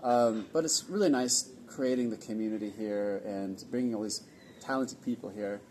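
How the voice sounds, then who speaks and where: A man from California hosting a casual interview at an event venue, easygoing and rambling with pauses. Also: lowish voice, a little mellow, slight background noise. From California, USA. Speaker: host of a nomad community event.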